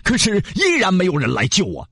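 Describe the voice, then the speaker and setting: A man's voice: high-pitched, huge pitch swings, a little fast, gravelly, fairly bright tone, slightly rough, no room sound, studio quality, voice pushed up, exaggerated voice. A man voicing a cruel, gloating giant demon in a children's storybook tale, breaking into mocking laughter.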